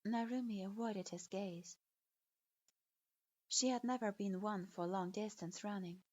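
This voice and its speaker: A woman narrating a dark fan-fiction story in a slow, measured reading voice. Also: medium pitch, fairly even intonation, lo-fi sound. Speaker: fanfiction reader.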